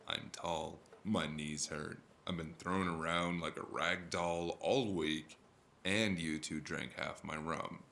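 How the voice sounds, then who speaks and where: A man playing a tall, weary, cranky investigator in a cyberpunk noir audio drama, grumbling with dry sarcasm in a gravelly voice. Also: low voice, steady tone, takes it slowly, fairly bright tone, slight background noise, full character voice.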